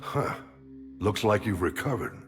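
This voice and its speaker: Game admiral: older, authoritative and loyal, very low register with lively intonation. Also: male voice, vocal fry, full character voice. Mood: grave concern. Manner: measured, grave, authoritative. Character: gruff older male military admiral, stern but loyal. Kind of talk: cinematic science fiction game dialogue. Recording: music under the dialogue.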